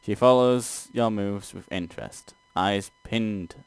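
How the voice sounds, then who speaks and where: A man's voice: fairly low pitch, slow pace, clean tone. A man doing a let's play, reading a text game aloud and reacting casually.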